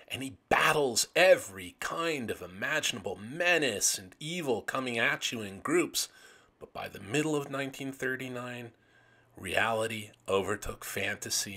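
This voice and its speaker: A man with a gravelly, raspy voice narrating an illustrated commentary as host, with enthusiastic, dramatic emphasis on phrases. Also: fairly low pitch, pushed and bright. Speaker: host of a video series on vintage pulp magazines.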